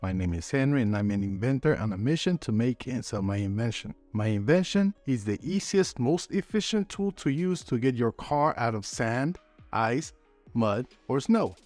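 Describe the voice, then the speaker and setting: A man's voice: mid-range voice, lively intonation, moderate pace, a little mellow, soft background music. A man hosting his own channel as an inventor, narrating a scripted video update to viewers. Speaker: host and inventor.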